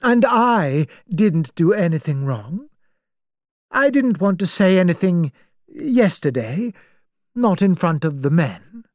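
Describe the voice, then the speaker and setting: Older spinster, stern and unbending, rather dark tone; a woman narrator does her. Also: fairly low pitch, very animated, takes it slowly, dry recording, studio quality, voice pushed up. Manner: prim, unbending, judgmental.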